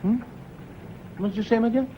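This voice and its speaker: An actor playing a tense, defensive, controlled schoolmaster and widower in a British radio drama, speaking in a clipped, formal way with a British accent. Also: male voice, high-pitched, fairly quick, lo-fi sound, slight background noise, close to an everyday voice. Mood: strained, controlling.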